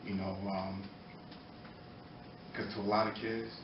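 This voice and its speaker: A middle-aged American man giving earnest, halting, impromptu testimony at a public meeting, pausing between short phrases. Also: fairly low pitch, flat delivery. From Norwalk, Connecticut, United States. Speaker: former boxer speaking in support of a youth program. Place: indoor meeting room, far from the mic. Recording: echoey, muffled, distant, noisy room recording.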